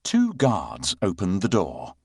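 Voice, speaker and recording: A man tells a children's fairy tale as narrator. His voice is fairly low and hugely varied in pitch, with unhurried, dramatic phrasing. The sound is dry and close. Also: slightly rough, no echo. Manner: expressive, dramatic storytelling delivery. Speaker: storyteller narrator. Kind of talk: scripted children's bedtime story narration.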